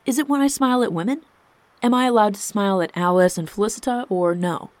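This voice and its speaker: A woman's voice: fairly low pitch, normal speed, a little mellow, dry recording. A woman voicing a proud, wounded husband and king in a storybook, intense and indignant. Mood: angry, hurt. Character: proud, wounded husband and king, cool but hot-tempered.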